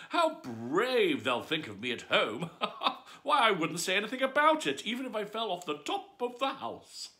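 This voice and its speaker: A man's voice: sits high, wildly swooping pitch, a little slow, bright, forceful tone, pitched above the performer's own voice, full character voice. A man doing a curious little girl. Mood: wondering. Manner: wondering, musing aloud. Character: curious chatty young girl.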